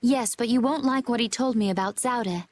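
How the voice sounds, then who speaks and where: An actress playing a calm, poised young woman fighter in a video game, speaking without hurry. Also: a little high, moderate pace, close to an everyday voice.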